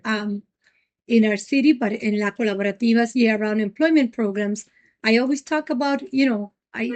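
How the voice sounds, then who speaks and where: Middle-aged woman from Puerto Rico, earnest interview guest, halting delivery, slightly high pitch. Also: lo-fi sound. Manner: earnest, many ums and restarts. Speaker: nonprofit president, guest. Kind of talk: reflective interview answers.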